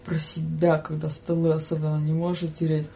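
A woman's voice: low-pitched, a little fast, gravelly, a little hiss or hum. A young adult woman, a guest in a casual chat, telling stories in slangy speech and acting out what was said. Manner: animated, slangy, giggly storytelling with acted-out quotes. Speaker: podcast guest, student train attendant.

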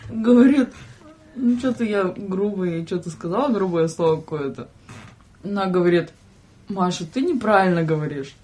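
A woman's voice: lowish voice, moderate pace, darkish tone, slight background noise. A young woman chatting casually as a podcast guest, blunt, slangy and joking. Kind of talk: casual chat.

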